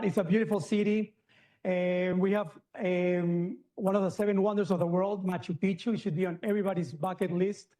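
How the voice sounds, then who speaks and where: A man's voice: high-pitched, darkish tone. A middle-aged man from Peru, a surgeon giving a chatty medical lecture, full of fillers and restarts.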